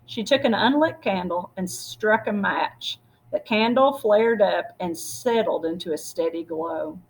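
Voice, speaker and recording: A woman with a husky voice reading a storybook aloud expressively, as if to children. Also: mid-range voice, measured pace, low-quality recording. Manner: expressive, acts out dialogue. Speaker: story reader.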